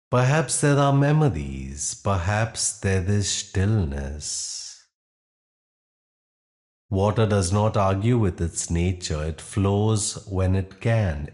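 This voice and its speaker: Male meditation guide: deep register, very unhurried, gentle and soothing, measured pauses. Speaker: yoga nidra meditation guide.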